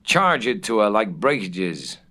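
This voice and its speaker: A man voicing a calm, opinionated American minister and father in a storybook, with a matter-of-fact manner. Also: on the low side, measured pace, full character voice. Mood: calm, dry.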